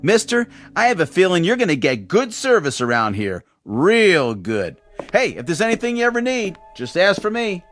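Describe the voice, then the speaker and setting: An actor playing a friendly, easygoing nineteen-year-old elevator operator in a television drama, with a colloquial, eager and deferential way of talking. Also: male voice, high-pitched, huge pitch swings, moderate pace, fairly bright tone, soft background music, exaggerated voice. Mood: chatty, eager.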